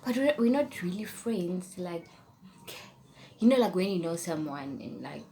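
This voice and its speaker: A woman's voice: on the low side, expressive, a little slow, warm tone. Casting: young Setswana-speaking woman from South Africa, chatty YouTuber, faint hiss behind. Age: young adult. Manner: chatty, rambling, trails off into whispers while reading questions. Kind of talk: casual tag video chat.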